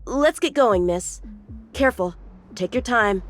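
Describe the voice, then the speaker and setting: A woman's voice: mid-range voice, expressive, a little slow, lightly acted. A woman playing an eager, proud teenage boy swordsman in a video game, chatty, cocky and earnest. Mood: polite, alert. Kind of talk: English video game voice acting.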